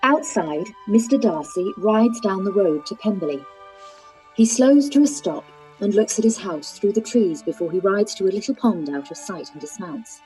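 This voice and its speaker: A woman narrating stage directions for a live-read radio play, calm and even. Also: medium pitch, normal speed, faint music behind, poor audio.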